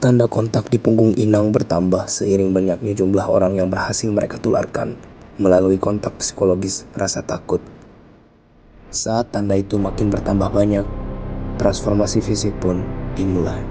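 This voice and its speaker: Low voiced guy narrating analog horror, loud music under him. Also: little pitch movement, normal speed.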